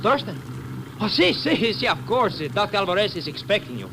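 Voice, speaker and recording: An actor playing an officious, suspicious Latin American guard captain with a Spanish accent in a 1950s radio drama, speaking formally and commandingly. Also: male voice, sits high, sing-song, a little fast, creaky, exaggerated voice. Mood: formal, firm. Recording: old noisy 1950s radio broadcast.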